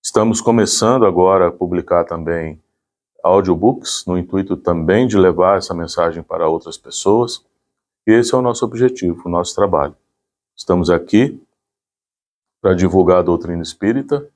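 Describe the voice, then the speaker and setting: Low-voiced man explaining informally. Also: normal speed, a little mellow. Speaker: volunteer presenter of a community project.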